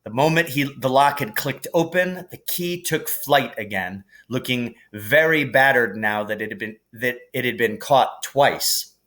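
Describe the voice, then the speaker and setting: A man reading a storybook aloud as an expressive narrator, in a measured, deliberate storytelling manner. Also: medium pitch. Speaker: teacher reading a novel aloud.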